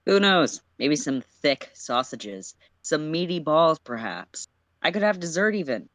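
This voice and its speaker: A man's voice: fairly high pitch, very animated, unhurried, a bit bright, no echo. A man voicing a cocky, evasive, wisecracking teenage boy in a story reading, slangy and flippant. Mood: teasing.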